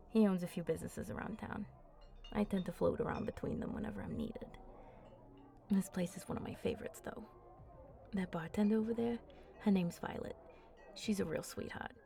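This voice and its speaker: A woman playing a flirty, confident vampire in an intimate ASMR roleplay, talking softly and playfully up close and often dropping into breathy murmurs. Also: medium pitch. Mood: casual, amused. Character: flirty, teasing, confident female vampire at a bar. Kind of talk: ASMR roleplay, intimate one-sided chat.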